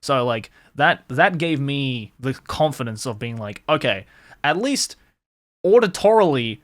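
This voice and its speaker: A man's voice: medium pitch, expressive, unhurried, no room sound. A young man, hosting a casual interview and talking candidly, with lots of "like" and some swearing. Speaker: host.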